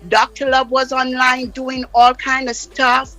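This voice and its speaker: A woman's voice: sits high, monotone, measured pace, pushed and bright, faint music behind. A Jamaican woman guest on a live radio interview, speaking with passionate, insistent emphasis. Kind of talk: heated interview talk. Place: radio studio.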